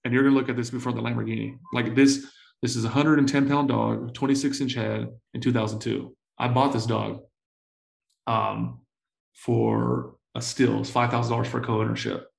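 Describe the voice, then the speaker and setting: A man in his forties telling stories in a casual interview, full of slang and swearing, excitable and often repeating himself. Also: medium pitch, normal speed, creaky, roomy sound. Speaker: entrepreneur guest.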